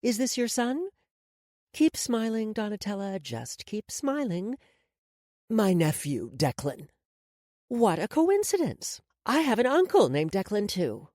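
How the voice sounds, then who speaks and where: A woman's voice: mid-range voice, big pitch swings, measured pace, dark tone, no echo, clean studio sound, voice pushed up. A woman playing a menacing, mocking, commanding woman in charge, as audiobook dialogue. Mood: falsely sweet, menacing.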